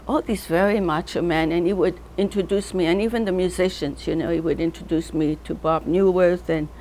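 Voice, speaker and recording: An older woman from North Africa with a fairly low-pitched voice, telling an interviewer loose, rambling stories. She wanders between names and memories and breaks into laughter often. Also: steady tone, fairly quick, dark tone, slight background noise. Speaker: artist being interviewed. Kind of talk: casual reminiscing interview.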